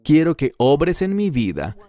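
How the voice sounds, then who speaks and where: A man dubbing an earnest Bible teacher in his fifties, delivering a verse-by-verse sermon with emphatic, deliberate phrasing. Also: fairly high pitch, sing-song, warm tone, dry recording.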